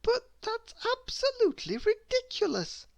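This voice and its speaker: A woman's voice: very high-pitched, big pitch swings, a little slow, creaky, dry recording, voice pushed up, exaggerated voice. A woman voicing a sad little storybook girl with a small, thin, doleful voice. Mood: protesting. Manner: doleful, plaintive. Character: sad little girl who lost her giggle.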